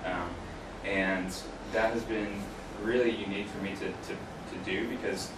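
A man with a very low voice speaks informally to a small class, correcting himself as he goes. He is recorded from across an echoey room, with clear noise behind him. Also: flat delivery, measured pace. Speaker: guest speaker, UX researcher. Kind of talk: informal career talk to a class, answering questions. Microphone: distant camera microphone. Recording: roomy, distant mic, clear background noise.

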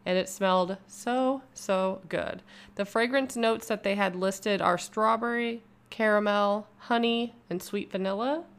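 A woman's voice: mid-range voice, measured pace, clean tone. A young woman vlogger doing a casual, upbeat voice-over review of a bath product from home. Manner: upbeat, chatty and enthusiastic. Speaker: YouTube vlogger.

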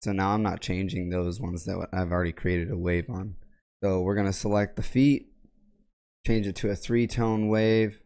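A young man talks through a software tutorial in a low, raspy voice, because he is sick. He gives plain, methodical steps and clears his throat often. He is recorded at home on a boom mic with a headset. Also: fairly even intonation, moderate pace. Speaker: tech tutorial creator. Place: home gaming room, indoors. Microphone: boom mic on arm with headset.

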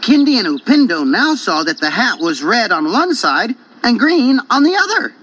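High-voiced, animated male storyteller. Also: moderate pace, a little hiss or hum. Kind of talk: animated scripted folk tale narration. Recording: dull cassette tape.

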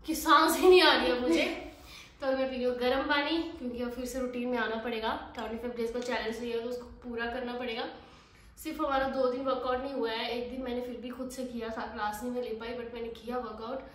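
A woman's voice: a little high, a bit bright, roomy sound. A woman in her thirties vlogging casually from home while she has a cold, chatty and complaining, recorded on a phone. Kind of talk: casual family vlog chat. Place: home kitchen and bedroom. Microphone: phone camera.

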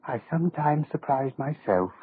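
An actor playing a suave, power-hungry schemer in a 1930s radio horror drama, speaking with pompous, quietly menacing oratory. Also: male voice, on the high side, animated, moderate pace, husky. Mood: smug menace. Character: suave, power-hungry male dictator-in-waiting, grandiose schemer. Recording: muffled old 1930s radio recording.

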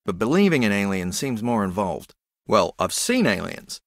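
An actor playing an earnest, spacey male rugby champion in a video game, with a deadpan, halting, rambling delivery. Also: fairly low pitch, no room sound, studio quality. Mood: guileless, matter-of-fact. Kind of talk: video game character dialogue.

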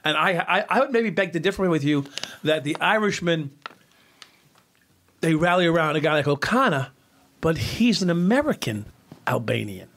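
A man's voice: fairly high pitch, sing-song, normal speed, pauses often, fairly bright tone, a little hiss or hum. A middle-aged man, a co-host, asks probing questions in a casual studio chat, stopping and restarting his sentences. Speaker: podcast co-host. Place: small podcast studio with LED lights. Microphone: desk mic on boom arm.

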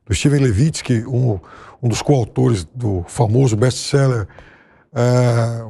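A man's voice: medium pitch, takes it slowly, gravelly, dark tone, breathy edge. A middle-aged man commenting on a TV news panel in a studio, thoughtful and hesitant with restarts. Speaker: political commentator.

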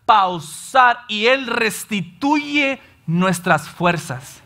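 Guy preaching on a church stage, salvadoran accent, high bright voice, lively and gesturing. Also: extremely slow. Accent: Salvadoran Spanish. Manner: animated, gestures widely, acts out listeners' complaints. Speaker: pastor. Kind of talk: sermon. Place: church stage in front of a large screen.

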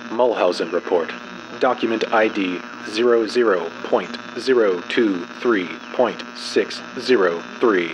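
A male narrator gives a dramatic scripted reading in a fairly low voice. His delivery is formal, measured and clinical, like an official horror log. A clear electrical hum with radio-like static sits under the voice.

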